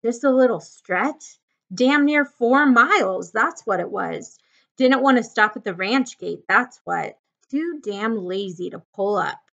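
A woman's voice: medium pitch, lively intonation, moderate pace, bright, forceful tone. Woman playing a small irritable ranch drifter man, bossy, scolding, rustic grammar, storybook reading, dull lo-fi sound. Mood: angry. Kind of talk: audiobook-style character reading of a novel.

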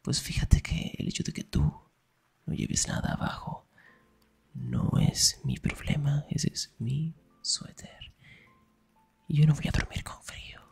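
A young man performing an intimate ASMR roleplay as a teasing, affectionate best friend, murmuring in a breathy, husky whisper. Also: a little high, huge pitch swings, unhurried, faint music behind.

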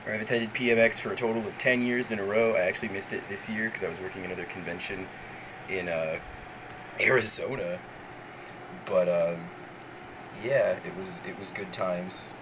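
A man casually rambling through a show-and-tell vlog at home, excitable, with sudden high squeals. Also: normal speed, noisy background. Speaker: vlogger.

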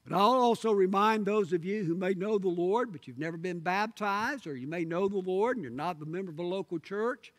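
A middle-aged male pastor earnestly pleading with his congregation from the pulpit, recorded on a pulpit microphone in a large church sanctuary. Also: sits high. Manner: earnest, pleading appeals with pauses. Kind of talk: sermon invitation and prayer. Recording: long sanctuary reverb.

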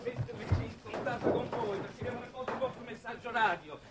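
Fast, high-voiced male Venus settler. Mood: matter-of-fact. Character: plain, reluctant settler of Venus pressed into diplomacy. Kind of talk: 1960s Italian television drama acting. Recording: distant, noisy old television soundtrack.

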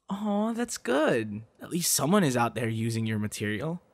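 A young man playing a playful, affectionate boyfriend in an ASMR audio roleplay, with a teasing, encouraging, slightly halting delivery. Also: mid-range voice, sing-song. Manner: teasing, encouraging, halting with soft laughs and gasps. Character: playful, affectionate young male voice actor on a date.

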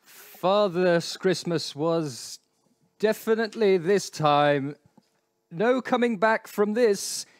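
A man narrating a live radio play in a measured, wry manner. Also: sits high, animated, no room sound. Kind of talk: scripted narration. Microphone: headset.

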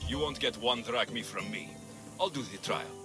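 An actor voicing a blunt, glory-driven mercenary hero with dry, curt replies. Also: male voice, mid-range voice, normal speed, music in the background, lightly acted.

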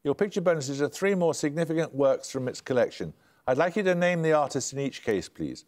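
Crisp quiz host, slightly high. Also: male voice, lively intonation, fairly quick, a little mellow. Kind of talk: reading quiz questions. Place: television studio.

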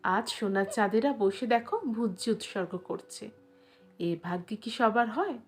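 A woman's voice: fairly high pitch, measured pace, soft background music, lightly acted. A woman narrator voicing a wistful, admiring old village matron in an audio story, exclaiming in a gossipy way. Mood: wistful admiration. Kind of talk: audio storybook character dialogue.

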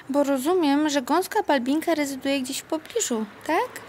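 High-pitched anxious little sprite. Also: female voice, measured pace, darkish tone, slight background noise. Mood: fretful. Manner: hesitant, worried questioning. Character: tiny, anxious, fretful female fairy-tale sprite. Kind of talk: children's audio play acting.

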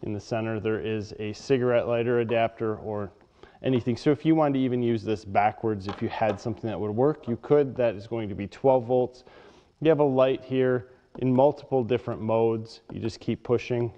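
A man walks through a product demonstration in a plain, matter-of-fact way. His voice is mellow and mid-pitched. The recording sounds muffled and carries a long reverb from the workshop around him. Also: normal speed. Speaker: product reviewer. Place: workshop with a workbench.

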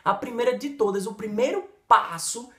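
A Brazilian man in his thirties with a Brazilian Portuguese accent, an English teacher giving an emphatic, energetic lesson straight to the camera. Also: very high voice, normal speed, echoey room. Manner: lively, emphatic, talks straight to the viewer with many gestures. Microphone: camera in front, head and shoulders framing.

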